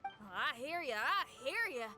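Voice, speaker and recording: A woman's voice: sits high, big pitch swings, normal speed. A woman playing a spirited, easygoing young female musician in a video game, talking in a folksy, slangy way. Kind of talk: animated video game character acting. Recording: music behind the voice.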